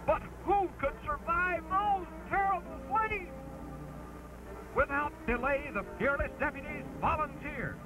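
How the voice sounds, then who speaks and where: A man narrates an old-fashioned children's adventure as a dramatic reading. His voice is pitched strikingly high, with emphatic, theatrical phrasing. Music runs clearly behind him. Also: rough recording. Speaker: narrator, live stream host.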